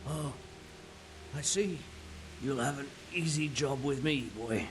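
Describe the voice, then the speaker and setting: An actor playing a resigned, cynical captured mercenary in a video game drama, wry and matter-of-fact, with a gravelly voice. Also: male voice, medium pitch, slow pace, noisy background, close to an everyday voice.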